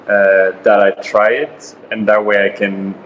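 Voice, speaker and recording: A man's voice: lowish voice, completely flat delivery, unhurried, highs cut off, slight background noise. A man of twenty-one from Sweden, answering interview questions in a hesitant, thoughtful way. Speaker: interview guest.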